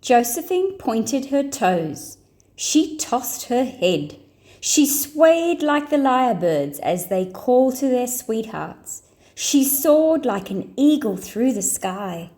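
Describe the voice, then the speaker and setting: A woman storyteller reading a children's picture book aloud in an expressive, deliberate way. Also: a little high.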